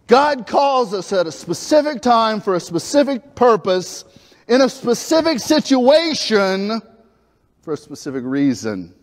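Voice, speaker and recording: Animated man preaching in a hall. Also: high voice, a little slow. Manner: animated preaching, acts out biblical dialogue, moves about the stage. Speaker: pastor. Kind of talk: lively sermon. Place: church sanctuary. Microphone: lapel mic. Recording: reverberant church hall.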